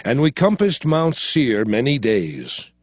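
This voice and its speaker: Moses, slow and solemn. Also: male voice, mid-range voice, wildly swooping pitch, dry recording, exaggerated voice. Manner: slow, solemn, dramatic recounting. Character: Moses, aged solemn male prophet and leader. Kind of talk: dramatized scripture narration. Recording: studio voice over music. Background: music.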